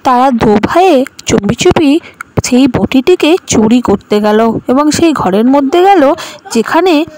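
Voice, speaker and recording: A woman's voice: high-pitched, expressive, unhurried, background noise. A woman narrator reading a scripted storybook tale for an animation.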